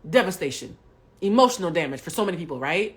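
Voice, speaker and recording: A woman in her forties hosting a livestream, telling a personal story bluntly and with plenty of profanity, swinging between hushed asides and loud bursts. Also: on the low side, sing-song, fast. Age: 40s. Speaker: livestream host. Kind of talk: candid storytelling to a live audience.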